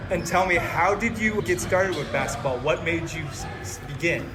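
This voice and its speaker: A man's voice: on the high side, normal speed, a loud crowd. A man interviewing a player at a basketball camp, asking brief questions that sometimes stumble and restart.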